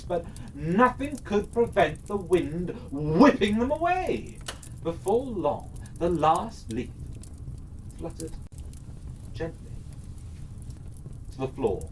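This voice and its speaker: A storyteller narrating a children's fairytale expressively, with dramatic, deliberate phrasing. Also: mid-range voice. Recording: slightly distant mic, faint noise.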